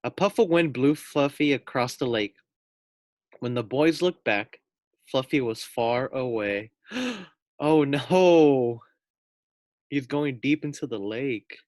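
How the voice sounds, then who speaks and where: A man reads a children's storybook as a teacher would for first graders. His pace is slow, in a medium pitch and a dry, close sound, and he breaks off for casual explanations and questions to the listeners. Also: darkish tone, no room sound. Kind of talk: children's storybook read-aloud with casual asides.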